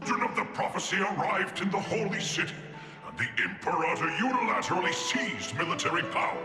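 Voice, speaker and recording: A man's voice: sits high, very animated, fairly quick. A man voicing a small, boastful lion-like gossip creature in a video game, grandiose and theatrical. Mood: boastful, dramatic. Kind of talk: video game character acting. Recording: echoey voice over game music.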